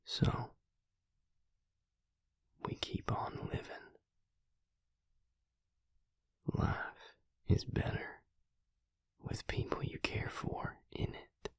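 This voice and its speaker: A man playing a protective, weary but tender vampire mate in an intimate audio roleplay, speaking in a hushed, breathy, gravelly voice with a deliberate, reassuring manner. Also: dry recording, in a whisper, lightly acted. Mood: tender, determined.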